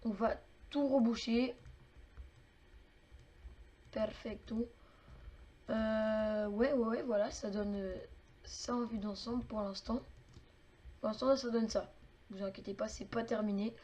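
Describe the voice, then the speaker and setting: Chatty high-voiced woman explaining a game build. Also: fairly even intonation, slow, pauses often, warm tone. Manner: chatty, many fillers and clicking sounds. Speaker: game tutorial maker. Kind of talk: casual game tutorial narration.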